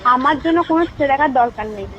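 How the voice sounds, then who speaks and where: Woman, high-pitched, curt and sceptical on a casual call; muffled phone recording with slight noise. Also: fast. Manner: sceptical, curt, sharp retorts. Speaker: recipient of a prank call.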